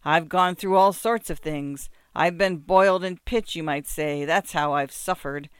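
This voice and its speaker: A bellowing drunken peasant, bossy and rambling, pitched a bit high and pushed loud, as a male narrator puts him on. The voice sounds smooth and close in a dry space. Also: a little slow, no room sound. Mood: ferocious. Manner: blustering, bossy, slurred shouting. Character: drunken boastful peasant man, gruff and rambling.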